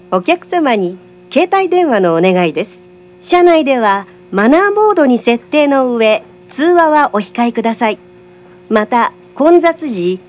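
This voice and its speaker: A woman's voice: a little high, a little hiss or hum. A woman giving formal, polite, carefully enunciated scripted train announcements, recorded from a carriage speaker.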